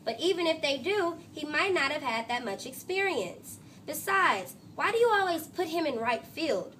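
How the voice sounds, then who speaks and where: A woman's voice: high-pitched, very animated, moderate pace, a bit bright, distant mic, slight background noise. A woman reading a storybook, voicing an earnest, fair-minded, slightly hesitant young schoolboy who reasons things out. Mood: reasoning.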